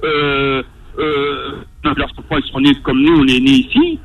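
A man's voice: medium pitch, steady tone, a little slow. A man calling in to a radio show, talking hesitantly with many fillers and restarts. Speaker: radio caller. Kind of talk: call-in discussion. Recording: gritty distant phone line.

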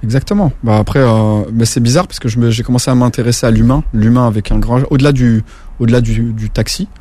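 Mellow-voiced man, chatty and rambling. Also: medium pitch, fast. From France. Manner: rambling, restarts often, slangy. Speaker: guest, former taxi driver. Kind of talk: casual interview talk.

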